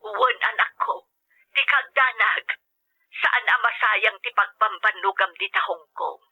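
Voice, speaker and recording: Babai nga agak-akto a natadem, naparaw nga ina iti telepono. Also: female voice, medium pitch, very animated, unhurried, creaky, bright, forceful tone, husky, exaggerated voice. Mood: pleased, reassuring. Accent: Ilocano. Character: caring, inquisitive older mother back home in the province. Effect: telephone filter. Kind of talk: Ilocano radio drama acting. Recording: muffled telephone line.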